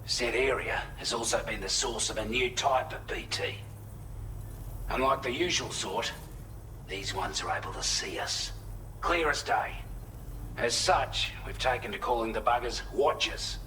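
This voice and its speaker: A forceful, bright-edged Australian man, played by an actor, briefing a courier in a video game. He is plain-spoken and earnest, talking conversationally at an ordinary male pitch. Faint background ambience sits under the voice. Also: a little hiss or hum, natural voice, rough recording. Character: matter-of-fact male shelter resident, allied quartermaster briefing a courier. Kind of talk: video game cutscene dialogue, mission briefing.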